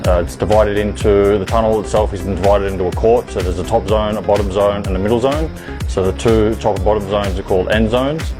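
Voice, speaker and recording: Low-voiced man walking through competition rules, music underneath. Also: a little fast. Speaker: rules explainer. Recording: with loud music bed.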